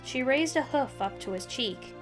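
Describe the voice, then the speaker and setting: A woman's voice: high voice, animated, moderate pace, music in the background. A woman speaking as narrator and host of a fan fiction reading. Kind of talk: story narration and casual outro chat.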